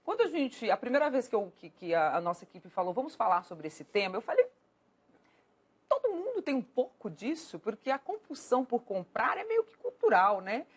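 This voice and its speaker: A woman's voice: on the high side, big pitch swings, fairly quick, slightly rough. A woman hosting a TV talk show, playful and teasing with her guests. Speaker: TV show host.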